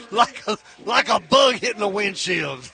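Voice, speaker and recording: Man, high-pitched, informal football colour commentator, exclamatory, folksy delivery. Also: expressive, unhurried, creaky, sharp tone.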